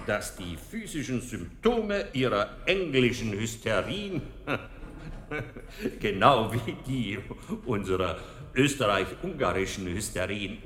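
An actor playing a genial, self-assured Viennese doctor who lectures courteously and theatrically with dry wit in a radio drama. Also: male voice, medium pitch, fairly bright tone. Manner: courteous, theatrical, emphatic lecturing with repeated phrases. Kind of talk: German radio drama acting. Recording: echoey lecture hall reverb.

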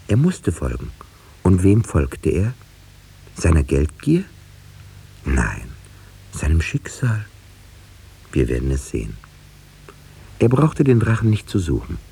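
A man's voice: deep voice, wildly swooping pitch, slow, gravelly, pauses often, dark tone, breathy edge, full character voice. A man playing a wry, sceptical village schoolteacher who tells the tale in a 1950s radio play, reflective and dryly ironic. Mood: wry, storytelling.

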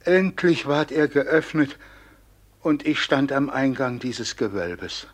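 A man's voice: mid-range voice, animated. An actor playing a starved, imprisoned old father, frail and solemnly lamenting in a classic radio drama. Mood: grieving. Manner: slow, lamenting, solemn declamation.

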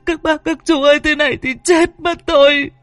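A man's voice: very high-pitched, fairly even intonation, normal speed, fairly bright tone, no echo, voice pushed up. A man voicing a frantic young village wife, pleading and stammering tearfully in an audio horror story. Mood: panicked, pleading.

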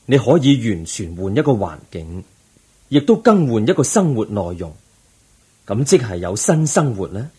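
An actor playing a calm, compassionate Buddha in a Cantonese radio drama, patient and solemn, with a Hong Kong accent. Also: male voice, fairly low pitch, normal speed, husky, heavily acted. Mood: patient, reassuring.

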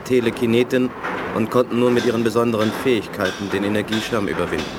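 An actor playing a stern, matter-of-fact telepath in a 1980s German radio play, stating accusations plainly. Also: male voice, on the low side, fast talker, noisy background, natural voice. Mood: accusing. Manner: flat statements, accusatory.

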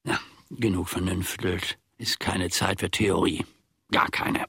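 Pedantic male servant, gravelly low voice, ponderous, mock-learned musing, radio play. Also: flat delivery, slow pace, pushed and bright, no echo, studio quality, full character voice. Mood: wry, self-important. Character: pedantic, simple-minded male servant of a philosopher. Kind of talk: German radio play acting.

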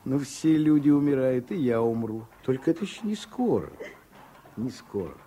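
An actor playing a gentle, reassuring father in his thirties in a Soviet radio play, husky and tender. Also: mid-range voice, sing-song, a little hiss or hum. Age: 30s. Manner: tender, unhurried.

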